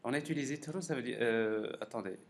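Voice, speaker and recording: A man giving a live technical software lecture as a professor, halting and repeating words as he thinks aloud. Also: mid-range voice. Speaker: university professor teaching a class. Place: classroom during a live course session. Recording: clearly noisy classroom recording.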